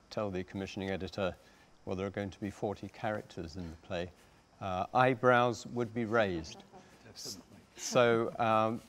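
A middle-aged man explaining his craft on a panel in a theatre, speaking in a measured, deliberate, formal way. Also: sits low, slight background noise. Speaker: panellist, radio dramatist. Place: theatre stage.

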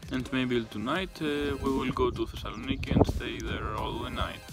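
A young man from Greece with a Greek accent, speaking casually and haltingly to a handheld phone camera outdoors. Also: mid-range voice, steady tone, loud music behind the voice. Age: young adult. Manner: plain, halting narration with fillers. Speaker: vlogger. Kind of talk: casual travel vlog to camera. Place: outdoors in a village. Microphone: phone held at arm's length.

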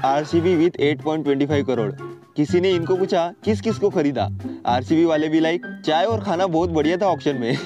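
A man from India doing comic commentary on memes, often laughing as he reads captions aloud. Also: on the high side. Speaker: meme reaction host.

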